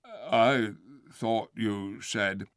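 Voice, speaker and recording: A man voicing a slow, puzzled male bear who grumbles. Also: on the low side, fairly bright tone, smooth, no room sound, studio quality.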